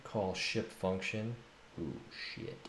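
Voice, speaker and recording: A man's voice: low-pitched, huge pitch swings, takes it slowly, gravelly, fairly bright tone. A man talking casually to himself in short muttered fragments as he works at coding. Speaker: game developer streaming his coding. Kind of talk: thinking aloud while coding, casual. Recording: noisy, roomy home recording with keyboard clatter. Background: computer keyboard typing and clicks.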